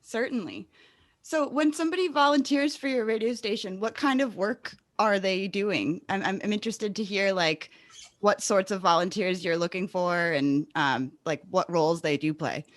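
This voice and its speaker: A woman's voice: mid-range voice, moderate pace, dry recording. A woman hosting a casual podcast interview in an enthusiastic, affirming manner. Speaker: podcast host interviewing a guest.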